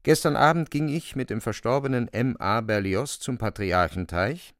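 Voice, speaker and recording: A man narrating a literary radio play in a deliberate, expressive storytelling manner, close to the microphone. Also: medium pitch, dry recording.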